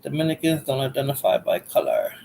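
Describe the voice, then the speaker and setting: A woman's voice: very deep voice, wildly swooping pitch, fairly quick, vocal fry, warm tone, slight background noise. A woman with a Bronx accent hosting a casual livestream chat, laughing often and repeating herself. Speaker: livestream host.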